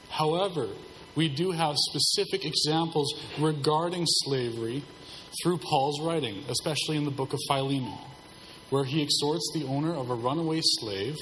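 Hoarse male pastor preaching in a hall. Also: medium pitch, fairly bright tone. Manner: measured, subdued delivery to save his voice. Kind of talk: sermon. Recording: hall reverb, faint background noise.

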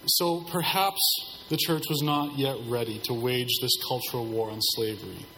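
A hoarse man delivers a sermon as pastor in a measured, subdued way, sparing his strained voice. His voice sits at a middling pitch and carries a long reverb from the hall, with faint noise behind him. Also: fairly bright tone.

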